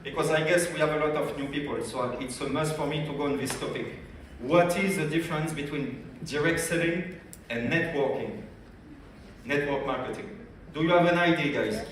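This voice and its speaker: A French-accented man speaks to a convention crowd with energy and keeps putting questions to the room. His voice sits a little high and carries a heavy hall echo. He sounds some distance from the mic, with faint room noise underneath. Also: normal speed. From France. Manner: energetic, addresses audience directly with questions. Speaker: business development director. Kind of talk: convention training talk.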